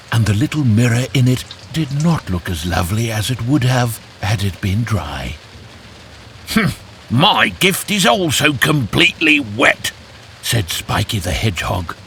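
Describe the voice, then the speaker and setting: A man narrating a scripted children's fairytale in an expressive, animated storytelling way. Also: fairly low pitch, gravelly, a little hiss or hum.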